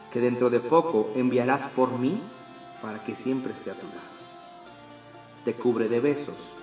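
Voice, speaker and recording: A man reading aloud the letter of a lovesick Russian woman, in a tender, quiet and wistful way. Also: mid-range voice, roomy sound, close to an everyday voice.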